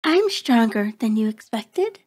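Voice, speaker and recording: Woman voicing a slow, high-voiced cruel vampiress. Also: very animated, a little mellow, breathy edge, heavily acted. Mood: mocking, haughty. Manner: taunting, haughty, savoring, formal diction. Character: cruel, predatory female vampire toying with her captive. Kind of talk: ASMR horror roleplay monologue.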